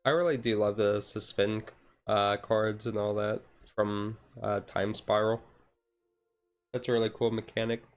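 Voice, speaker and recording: A man streamer chatting casually in a chill, low-key livestream, rambling along with hesitant pauses. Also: steady tone. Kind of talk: casual chat, ASMR-style chill livestream.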